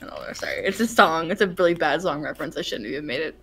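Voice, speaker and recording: A woman's voice: sits low, expressive, fast talker, fairly bright tone, husky. A woman chatting casually as a guest in a group read-along. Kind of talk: casual chat.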